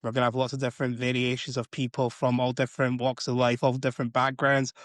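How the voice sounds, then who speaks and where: A man's voice: medium pitch, completely flat delivery, quick pace, no echo, clean studio sound. A man co-hosting a podcast in a chatty, rambling way, filling his sentences with "like". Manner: chatty, rambling with many likes. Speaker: podcast co-host. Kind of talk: casual chat.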